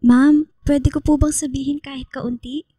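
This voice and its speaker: An actress playing a shy, polite young female student in a radio drama, soft-spoken and hesitant. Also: high-pitched, flat delivery, normal speed, no echo, close to an everyday voice. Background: music under the voice.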